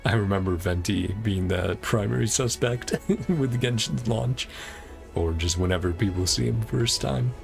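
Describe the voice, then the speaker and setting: Very low-voiced, gravelly male game streamer. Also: normal speed. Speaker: live streamer. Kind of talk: casual livestream chat while playing a game. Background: game music.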